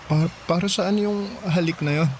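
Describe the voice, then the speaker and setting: A man's voice: sits high, moderate pace, slight background noise, lightly acted. An actor with a Filipino accent playing a shy, smitten, kind young provincial man in a radio drama. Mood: flustered. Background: background music.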